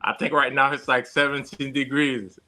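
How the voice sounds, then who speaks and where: A man with a heavy Haitian accent and a very bright, forceful voice. He speaks as a guest in a relaxed interview, pausing often and restarting his sentences, with faint music in the background. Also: mid-range voice. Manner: hesitant, many fillers and restarts. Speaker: guest, security consultant. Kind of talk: casual interview chat.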